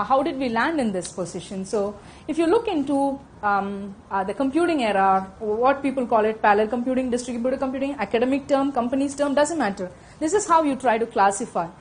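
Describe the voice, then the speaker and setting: A woman's voice: a little high, a little hiss or hum. A middle-aged woman with an Indian accent giving a technical lecture to a room of listeners on a handheld microphone, often checking in with "okay?". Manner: fast-talking, frequent "okay?" check-ins. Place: conference room with audience.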